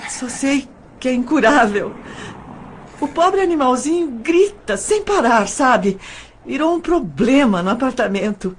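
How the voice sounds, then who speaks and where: A woman playing a polite, nervous young woman in an audio drama, speaking in a halting, earnest way. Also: fairly high pitch, a little hiss or hum.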